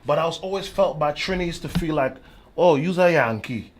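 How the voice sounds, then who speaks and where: Higher-pitched New man, radio host. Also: moderate pace. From Brooklyn, New York. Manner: informal, slangy. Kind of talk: casual, conversational interview. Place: radio studio.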